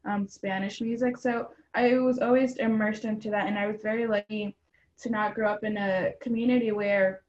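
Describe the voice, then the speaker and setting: A woman's voice: mid-range voice, fairly even intonation, moderate pace, a little mellow. Earnest young woman, lo-fi sound. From Mexican household in Atlanta, Georgia. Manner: earnest, frequent ums and you knows. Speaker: guest. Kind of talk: casual interview answers.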